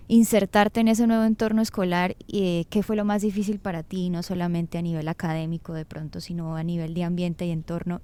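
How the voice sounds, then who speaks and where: A woman hosting a podcast, asking steady, probing questions. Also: on the low side, smooth. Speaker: podcast host. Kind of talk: interview questions.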